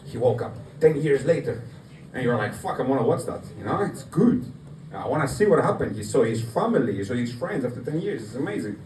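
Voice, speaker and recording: Amateur male comic, Greek accent, animated pitch, halting casual storytelling, caught from a distance in an echoey room. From Greece. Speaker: amateur stand-up comedian. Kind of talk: stand-up comedy storytelling to an audience. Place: live open mic night in a bar. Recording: roomy, distant mic, noisy bar open mic. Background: room noise, audience laughter.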